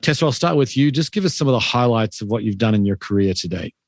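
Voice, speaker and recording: A man's voice: lowish voice, expressive, fast talker, gravelly, dry recording, studio quality. A man hosting a podcast, reading an upbeat introduction and then asking interview questions. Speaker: podcast host.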